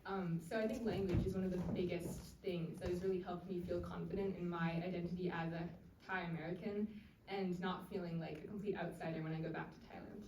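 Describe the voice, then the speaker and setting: A young woman on a discussion panel, calmly telling how her family shaped her. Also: on the low side, fast talker. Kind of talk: answering at a live panel. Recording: echoey room, distant mic, faint noise.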